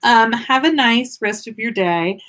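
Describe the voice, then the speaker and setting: Expressive lady hosting a story stream, high voice, takes her time, kinda effusive. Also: measured pace. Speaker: podcast host reading listener stories. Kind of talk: livestream story reading and casual chat.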